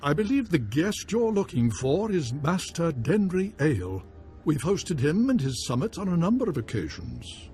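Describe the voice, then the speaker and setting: A man's voice: on the high side, sing-song, moderate pace, warm tone, faint music behind. A man playing a gracious noble baron in a video game, speaking in formal, courtly phrases.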